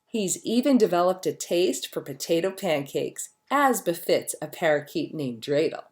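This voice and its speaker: A woman reading a children's story aloud as a narrator, with expressive storytelling delivery. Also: on the low side, moderate pace. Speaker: storybook reader.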